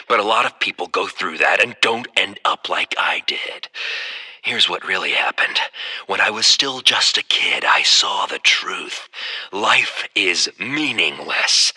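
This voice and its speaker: Give us a deranged killer from a video game, low and sharp-edged with a harsh rasp. He is mocking and theatrical, taking his time and swinging widely between menace and scorn. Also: full character voice. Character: deranged male serial killer recounting his childhood to a doctor.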